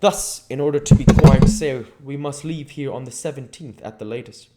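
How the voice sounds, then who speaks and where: A man voicing an elderly professor in an audiobook, commanding and earnest, speaking deliberate, formal, oddly worded English. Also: mid-range voice, heavy background noise, voice pushed up, close to an everyday voice. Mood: commanding, urgent. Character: elderly male professor leading a vampire hunt, commanding, earnest. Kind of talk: dramatic audiobook character reading.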